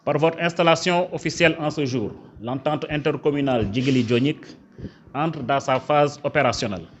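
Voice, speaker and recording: Senegalese official, dull lo-fi sound. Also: male voice, on the high side, moderate pace, slight background noise. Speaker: government official, prefect. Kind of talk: formal official ceremony speech. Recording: dull, lo-fi event recording.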